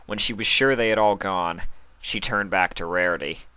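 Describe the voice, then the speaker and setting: A man's voice: low voice, moderate pace, pushed and bright, clear, resonant tone, no echo. A man reading scripted story narration as a fan fiction reader.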